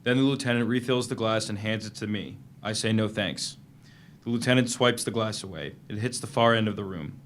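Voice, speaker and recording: A man acting a guarded prisoner, low-voiced. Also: fairly quick, fairly bright tone, slight background noise, natural voice. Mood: tense narration. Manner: plain, guarded, terse storytelling in present tense. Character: guarded, defiant American male prisoner keeping a journal. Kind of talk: dramatic reading of novel narration and dialogue.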